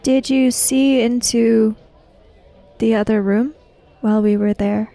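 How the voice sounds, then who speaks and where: A woman roleplaying a formal, reserved traveller in a samurai fantasy tabletop game, speaking in careful, measured phrases. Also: on the high side, fairly even intonation, gravelly, dark tone, a faint crowd. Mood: measured, pensive. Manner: formal, measured, avoids contractions. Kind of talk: in-character roleplay dialogue.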